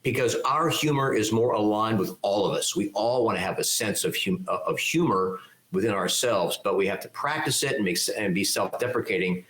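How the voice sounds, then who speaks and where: An older man, a leadership speaker, answering interview questions in a loud, articulate, emphatic way and laying out his points one by one. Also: lowish voice, moderate pace, few pauses, reverberant. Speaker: keynote speaker and leadership mentor.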